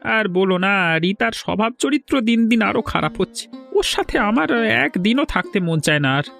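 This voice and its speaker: A man's voice: high voice, expressive, normal speed, creaky, a bit bright, soft background music, exaggerated voice. A man voicing an earnest, insistent younger village man in a cartoon fairy tale, with emphatic delivery. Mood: earnest, fed up.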